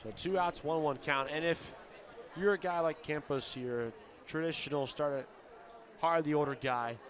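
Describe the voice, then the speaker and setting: A man calling live baseball play-by-play for radio in a fluent, descriptive style that can build to shouts on big plays. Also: fairly high pitch, normal speed. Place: outdoor baseball stadium broadcast position. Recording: live ballpark with crowd noise behind. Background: crowd noise.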